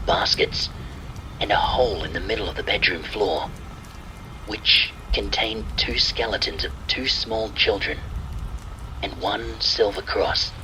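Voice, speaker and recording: Male horror narrator, fairly low pitch, very crisp forceful brightness, scripted reading, faint rain noise. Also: poor audio. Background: rain sounds.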